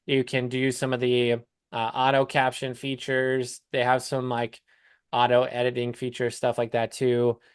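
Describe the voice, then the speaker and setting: Clear-voiced man coaching casually. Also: medium pitch, monotone, unhurried, no echo. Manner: casual, explanatory, walking through examples. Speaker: business coach hosting a client call. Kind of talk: casual coaching talk on a live call.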